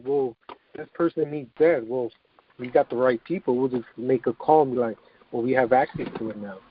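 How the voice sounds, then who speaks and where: A man's voice: mid-range voice, a little hiss or hum. A man chatting casually on a group call in a loose, informal way, acting out little example quotes as he goes. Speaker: business owner on a group call. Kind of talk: casual chat. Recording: thin, tinny phone line.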